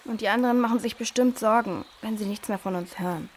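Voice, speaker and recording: A woman acts as a caring, questioning young adventurer in a children's radio play. The voice sits fairly high. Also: fast, loud music behind the voice, natural voice. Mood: eager.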